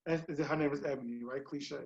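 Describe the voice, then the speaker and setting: A man talking as a guest in a relaxed interview over a video call. He speaks in a chatty way and keeps dropping in "you know" and "bro". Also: mid-range voice, fast, muffled recording, slight background noise. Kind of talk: casual storytelling chat.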